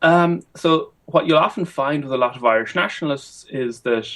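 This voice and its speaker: A young adult man answering as a guest historian in an interview, measured and thinking aloud with frequent ums. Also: a little high, fairly bright tone.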